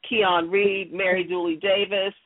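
A woman's voice: mid-range voice, little pitch movement, measured pace. A middle-aged woman talking earnestly as an interview guest, telling personal stories in an encouraging, emphatic way over a phone line. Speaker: interview guest, audio theater creator. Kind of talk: conversational interview, personal storytelling. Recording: narrow, tinny phone-line sound.

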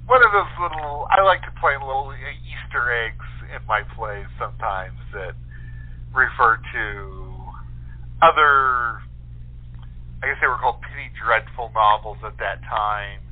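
A man's voice: medium pitch, a little slow. A man chatting casually as an interview guest, explaining his writing with enthusiasm over the telephone. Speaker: guest playwright. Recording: muffled narrow phone line.

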